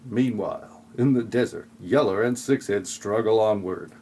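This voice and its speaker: A man's voice: on the low side, normal speed, mellow. A man reading scripted comic narration for an audio play in a wry, flippant way.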